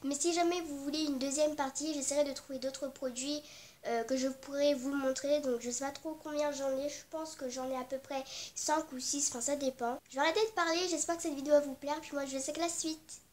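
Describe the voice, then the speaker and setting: A woman's voice: high voice, fairly even intonation, fairly quick. A young teenage girl from with a cold, chatting casually and rambling about her beauty products, apologetic and full of fillers. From Réunion. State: has a cold, stuffy. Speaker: beauty vlogger. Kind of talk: casual beauty vlog chat.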